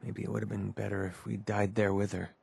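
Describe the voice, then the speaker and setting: Low-voiced, earnest American mercenary. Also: male voice, level tone, a little fast, creaky, darkish tone, breathy edge, dry recording, full character voice. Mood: regretful, grieving. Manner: earnest, hesitant, confiding. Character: weary, sincere young mercenary and grieving widower. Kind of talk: video game dialogue acting.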